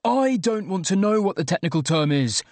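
A man's voice: on the high side, a little slow, darkish tone, no echo, natural voice. A man voicing an exasperated, sardonic young man, the hapless hero of an audiobook, wry and emphatic. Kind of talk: audiobook character dialogue.

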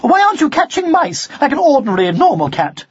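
A man voicing a sharp-tongued, scornful mother cat who snaps and scolds in a children's storybook. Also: very high-pitched, wildly swooping pitch, moderate pace, breathy edge, dry recording, highs cut off, pitched above the performer's own voice, full character voice. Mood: scolding. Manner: snapping, scolding.

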